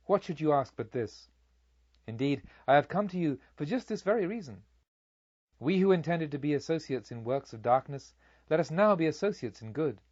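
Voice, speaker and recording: A man's voice: on the high side, darkish tone, no room sound, highs cut off. A man voicing a reformed male bandit in a storybook, speaking earnestly, formally and with emphasis to a noble lady. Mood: earnest urging.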